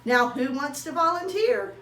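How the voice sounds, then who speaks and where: A woman's voice: very high voice, expressive, a little slow, fairly bright tone, far from the mic, voice pushed up, full character voice. A woman voicing a firm, composed schoolteacher in a children's storybook, speaking as if addressing a class. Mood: announcing. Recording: roomy echo with faint noise.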